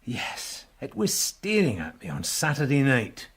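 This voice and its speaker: A man acting in a radio drama as a brisk, businesslike police sergeant with a wry streak. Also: medium pitch, huge pitch swings, fairly bright tone, husky, exaggerated voice. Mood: wry, purposeful. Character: brisk, dry male police detective sergeant. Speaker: actor.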